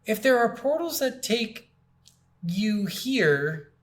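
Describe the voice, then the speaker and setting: High-pitched man, blunt and sweary. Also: very animated, slow pace. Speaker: podcast co-host. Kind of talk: casual video game review chat.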